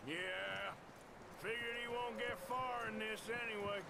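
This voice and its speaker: An actor playing a rough, hot-tempered American outlaw gunslinger in a video game cutscene. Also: male voice, sits high, steady tone, measured pace, very noisy. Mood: matter-of-fact.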